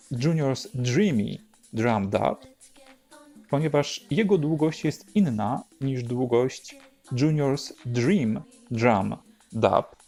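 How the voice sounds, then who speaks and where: A man narrating an unhurried show-and-tell as host, in a measured, explanatory way. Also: mid-range voice, a little mellow. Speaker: host presenting a record collection.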